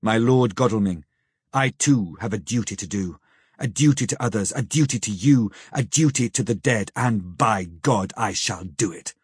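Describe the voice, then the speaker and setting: A man voicing an old male professor who speaks gravely, sternly and with pity, in slow, solemn, pleading phrases for an audiobook. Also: medium pitch, no echo, studio quality, lightly acted. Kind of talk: audiobook character reading.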